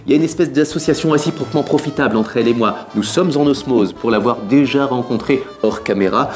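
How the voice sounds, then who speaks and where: A man's voice: mid-range voice, fast talker, darkish tone, faint music behind. A man hosting a TV talk show, teasing his co-host and greeting his guest in a playful, mock-formal way.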